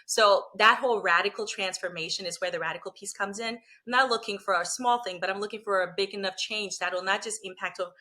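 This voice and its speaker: Woman from liberia, bright clear voice, talks things through, lots of "you know" and "right?". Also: mid-range voice, quick pace, hardly any pauses. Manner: reflective, explanatory, frequent "you know" and "right?". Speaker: guest, coach and lawyer. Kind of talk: conversational interview.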